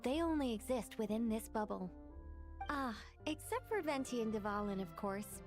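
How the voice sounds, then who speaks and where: A learned lady mage with a high voice. The delivery is composed, gentle and measured, like patient exposition in an anime game, with music behind. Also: big pitch swings. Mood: calm, explanatory.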